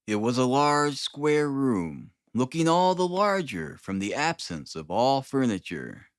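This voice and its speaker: A man narrating a classic story as an audiobook, in a measured, deliberate, dramatic reading style. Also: mid-range voice, dry recording, clean studio sound. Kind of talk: dramatic scripted literary narration.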